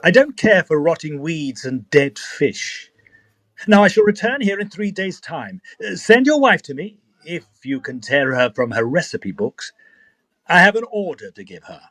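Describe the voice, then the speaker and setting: Man playing a husky, pompous gentleman investigator. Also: a little high, sing-song, moderate pace. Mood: brisk, commanding. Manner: pompous, dry, commanding. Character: brisk, sceptical gentleman ghost investigator. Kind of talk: narrated period audio drama.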